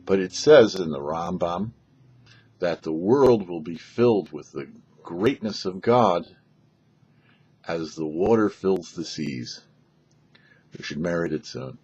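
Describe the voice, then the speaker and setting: Older male rabbi for short religious teaching, husky and unhurried, measured emphasis, slightly dull lo-fi recording. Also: deep voice, animated, a little mellow. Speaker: rabbi and show host. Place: small indoor setup before a dark backdrop.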